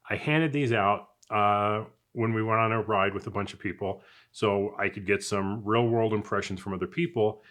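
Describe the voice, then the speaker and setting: A man's voice: lowish voice, a bit bright. A man giving a casual, unscripted product review in a chatty, conversational way, as if thinking aloud. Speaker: product reviewer, channel host.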